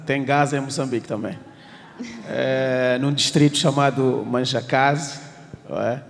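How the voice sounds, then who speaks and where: A man from Mozambique, introducing himself in a measured, formal way. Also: mid-range voice, steady tone, creaky. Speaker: missionary student. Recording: echoey hall sound.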